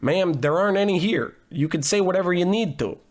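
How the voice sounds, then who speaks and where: An actor playing a wisecracking, cheap private detective with a deadpan, hard-boiled delivery in 1940s radio noir parody. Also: male voice, high-pitched, fairly quick, lightly acted. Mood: wry. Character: wisecracking, down-on-his-luck male private detective.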